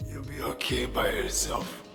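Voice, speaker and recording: A man playing a grim, weary character with a gravelly voice in a video game cutscene. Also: a little slow, close to an everyday voice. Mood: grim, subdued. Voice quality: gravelly, creaky. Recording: reverberant hall, music behind.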